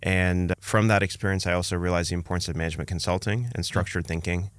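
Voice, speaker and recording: A man's voice: deep voice, steady tone, fast, no room sound, clean studio sound. A man, telling his own story conversationally as a podcast guest. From Canada, born in Romania.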